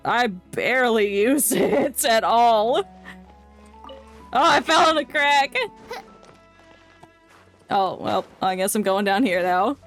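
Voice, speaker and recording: A woman game streamer giving casual, jokey commentary, playful and rambling as she heckles the game. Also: fairly high pitch.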